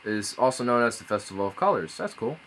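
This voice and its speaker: A man's voice: on the low side, very animated, fast talker, a bit bright, a little hiss or hum, rough recording. Laid-back rambling male streamer. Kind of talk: casual live-stream chat. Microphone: phone.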